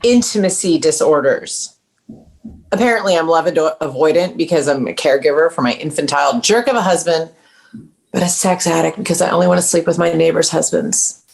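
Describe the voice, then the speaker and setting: An actress reads a brash, haggard woman in her forties with plenty of fry in a slightly low voice. She is bitter and blunt, tossing out sardonic lines in a drama table read. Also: fairly quick, a little mellow.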